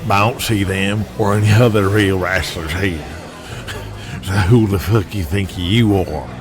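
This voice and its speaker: A man's voice: sits low, measured pace. A man voicing a stout, drunken barfly in an audio drama, talking in a confrontational way. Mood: taunting. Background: music.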